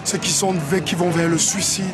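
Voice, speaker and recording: A young man from Cameroon, a pastor and rapper, telling his story in an interview, earnest and halting with repeated words. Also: sits high, steady tone. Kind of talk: interview testimony. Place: outdoors in a city. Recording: loud music under the voice.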